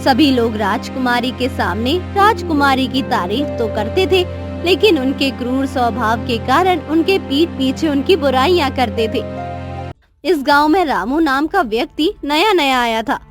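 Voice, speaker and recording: A woman's voice: high-pitched, lively intonation, unhurried. A woman narrating a scripted cartoon story as the storyteller. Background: background music.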